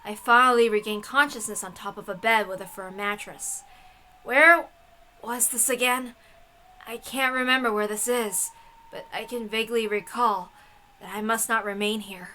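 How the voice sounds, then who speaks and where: A woman giving a dramatic, theatrical narrated reading of a story in an expressive manner. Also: on the high side, moderate pace, slight background noise, poor audio. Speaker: reader of a visual novel.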